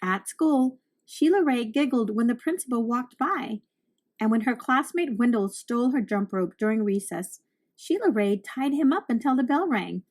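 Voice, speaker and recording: A woman reading a children's storybook aloud, narrating with deliberate, expressive phrasing for young listeners. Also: on the high side.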